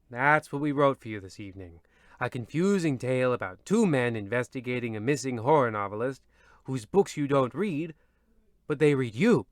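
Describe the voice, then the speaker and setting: An actor playing a petty, theatrical male narrator of an old-time radio horror comedy, with ominous drawn-out lines and peevish asides. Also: mid-range voice, fairly bright tone, no room sound, clean studio sound, lightly acted.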